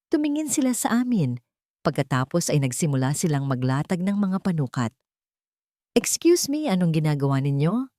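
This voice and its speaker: A low-voiced woman with a Filipino accent reads a dramatic first-person story. Her pitch moves widely from phrase to phrase, and the recording is close and dry. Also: mellow, no echo. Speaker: audiobook narrator.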